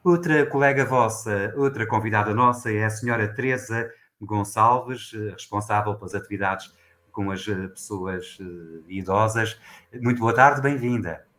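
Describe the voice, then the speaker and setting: A man with a fairly low voice hosts a formal conversation. He welcomes each guest courteously and keeps an even, deliberate pace. His tone stays polite and official throughout. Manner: formal, measured, courteous introductions. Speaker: host. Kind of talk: formal interview hosting.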